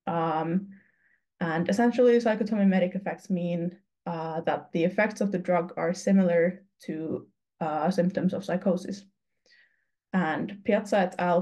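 A woman's voice: fairly low pitch, dark tone. A young woman from Finland giving an earnest conference talk over slides on a video call, with frequent ums and restarts. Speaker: student researcher presenting. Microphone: video call webcam.

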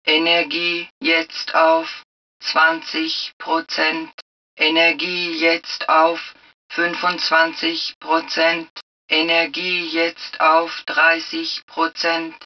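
A calm, low-voiced suit computer delivers automated game announcements very slowly. The phrasing is clipped, impersonal and measured. The sound is dull and somewhat removed from the microphone. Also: female voice, distant mic, heavily acted.

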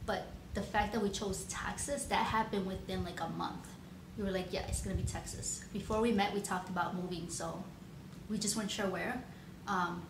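A woman's voice: medium pitch, roomy sound, background noise. A woman in her thirties, originally from Chicago, answering viewer questions in a casual, rambling vlog, full of ums and likes. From Chicago, United States. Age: 30s. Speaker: nail artist vlogger. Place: home room with shelves, indoors.

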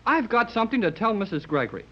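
A male actor playing a brash, excitable and indignant teenage boy in 1930s radio drama style. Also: sits high, quick pace, heavily acted. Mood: earnest, indignant. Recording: dull 1930s radio recording.

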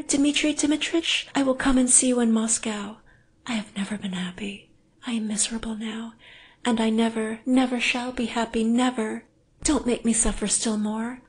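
A frightened, lovestruck woman played by a female audiobook reader, pitched high and full of anguish. Her delivery is breathless and pleading, with strong emphasis, in the manner of a dramatic literary story. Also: fairly even intonation, normal speed, breathy edge. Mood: urgent, miserable. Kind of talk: dramatic audiobook character reading.

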